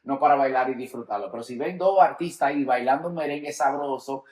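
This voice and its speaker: A man from New York hosting a podcast, asking questions and telling anecdotes in a relaxed, conversational way. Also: mid-range voice, fast talker, echoey room. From New York, United States. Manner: relaxed, conversational, acts out quotes. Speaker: podcast host. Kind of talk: casual podcast interview.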